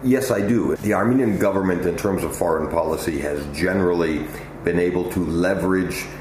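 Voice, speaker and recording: A man giving measured, articulate and emphatic answers as an interview guest. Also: deep voice. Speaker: interview guest, political analyst. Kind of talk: answering interview questions at length. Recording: echoey room with loud ambient noise.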